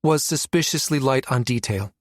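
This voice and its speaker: Male narrator as earnest analyst, protective, eager with facts, lifted pitch, science fiction audiobook. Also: moderate pace, no room sound, studio quality, close to an everyday voice.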